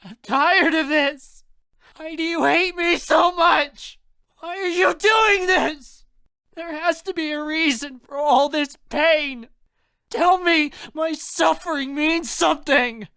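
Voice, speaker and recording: High, sobbing, heartbroken young soul. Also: slow pace, sharp tone, dry recording, exaggerated voice. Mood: desperate despair. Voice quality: high, choked-up, strained voice. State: crying. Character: distraught, heartbroken young protagonist pleading through sobs.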